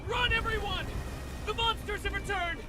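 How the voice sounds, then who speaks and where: Casting: a terrified villager in a fantasy game, shrill high pitch, shouting over music. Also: female voice, steady tone, moderate pace. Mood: panicked. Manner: panicked, shouting. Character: frightened townsperson shouting warnings to flee. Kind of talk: dramatic game voice acting.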